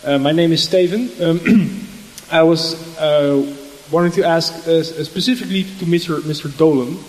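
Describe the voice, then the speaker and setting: A man's voice: a little high, normal speed, a little mellow. A man in the audience putting a question to a panel, polite and hesitant. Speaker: audience member asking a question. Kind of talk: halting question from the floor. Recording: reverberant conference hall.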